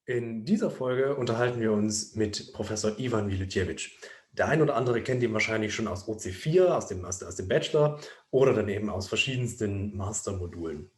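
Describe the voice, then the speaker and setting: A man's voice: fairly low pitch, animated, brisk. A man hosting an interview series, introducing the episode and addressing viewers directly in a friendly way. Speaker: host, student society spokesman. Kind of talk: podcast intro. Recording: roomy echo.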